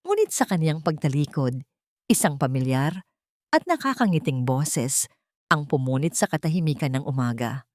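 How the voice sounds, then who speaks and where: A woman's voice: low voice, very animated, moderate pace, a little mellow, no room sound, studio quality. A woman storyteller reading a scripted dramatic story as narration.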